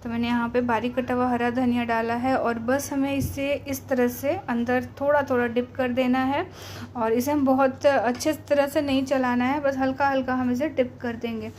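An Indian woman narrates a home cooking tutorial step by step. Her voice sits fairly high and stays very even, with little melodic movement. There is noticeable background noise in the recording. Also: moderate pace. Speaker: home cook presenting a recipe.

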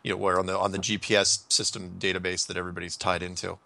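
A man's voice: sits low, completely flat delivery, fast talker, creaky, bright, forceful tone, dry recording. A man hosting a casual talk show, rambling through ideas with frequent restarts and fillers. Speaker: podcast host. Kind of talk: casual call-in chat.

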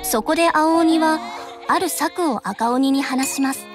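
Fairytale narrator woman, high register, measured old-tale telling for a game, music underneath. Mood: gentle, solemn. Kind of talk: storybook narration.

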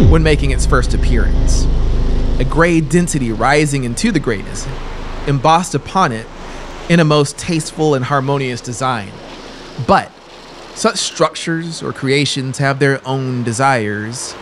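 Intense man narrating horror over noisy ambience. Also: mid-range voice. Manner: deliberate, formal, intense delivery. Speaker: audiobook narrator. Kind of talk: dramatic horror story narration. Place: small brick-walled room studio. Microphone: close boom-mounted broadcast microphone.

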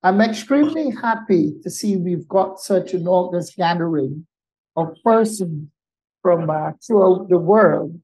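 A man from Guyana giving a formal, measured talk to a summit over a video call. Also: high voice, expressive, darkish tone, poor audio. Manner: measured, formal, multilingual greetings. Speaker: invited summit speaker.

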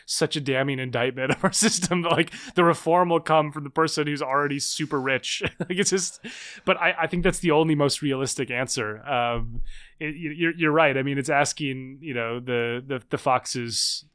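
A man in his thirties, a guest author and researcher, talking fast and animatedly with many restarts in a casual interview. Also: on the high side, fairly even intonation, fairly bright tone, clean tone. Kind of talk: casual long-form interview.

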